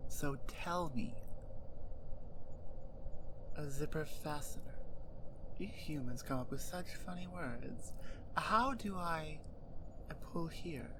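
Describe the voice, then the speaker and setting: Woman as a wary, soft-spoken wolfgirl, noisy backdrop. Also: deep voice. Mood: suspicious, threatening, then curious. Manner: blunt, questioning, puzzled by human words. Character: wary, menacing young female wolfgirl huntress, curious. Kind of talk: ASMR roleplay, intimate close delivery.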